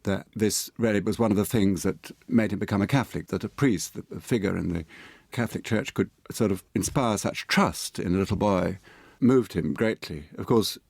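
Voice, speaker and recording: A man's voice: lowish voice, moderate pace, creaky, darkish tone, dry recording. A man talking conversationally as an interview guest, in a discursive, slightly hesitant way. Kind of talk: conversational anecdote telling.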